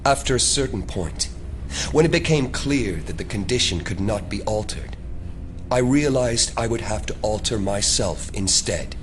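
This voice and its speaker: An actor playing a menacing, coolly superior mind-reading conspiracy leader in an audio drama, speaking in measured, grandiose speeches. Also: male voice, fairly low pitch, expressive, a little hiss or hum, lightly acted. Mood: weary, cold.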